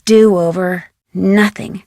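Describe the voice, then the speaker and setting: A woman's voice: fairly low pitch, steady tone, drawn-out words, a little mellow, no echo, studio quality. An actress playing a plucky, curious young American woman detective who thinks aloud in a wry, scripted adventure game style. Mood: thoughtful. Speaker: video game voice actor.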